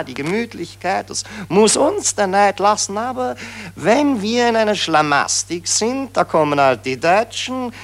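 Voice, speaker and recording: A man's voice: high voice, gravelly, a bit bright, exaggerated voice. An actor playing a complacent, rambling Austrian general who addresses his officers pompously with an Austrian accent in a satirical radio play.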